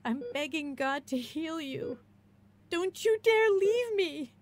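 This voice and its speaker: A woman's voice: very high voice, animated, slow, full character voice. A desperate young woman pleading. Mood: tearful pleading. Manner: tearful pleading. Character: distraught young woman pleading for her injured father. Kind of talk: radio drama acting.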